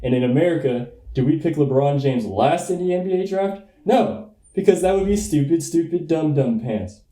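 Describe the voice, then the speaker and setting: A man's voice: a little high, expressive, moderate pace, mellow, reverberant, close to an everyday voice. A young man playing a smug, blustering schoolboy running for class president in a comic radio play, with folksy politician bombast. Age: young adult.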